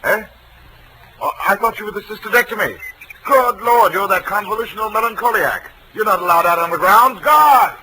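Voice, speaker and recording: A brusque, absent-minded doctor from a 1940s radio drama. His voice is high for a man and he talks in curt exclamations. The old recording is clearly noisy. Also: moderate pace, sharp tone. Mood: alarmed, commanding. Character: brusque, muddled male asylum doctor.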